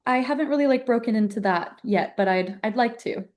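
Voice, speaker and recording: Mellow-voiced young woman violinist guest. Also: a little high. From Germany. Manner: thoughtful, measured, uses "kind of" often. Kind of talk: podcast interview.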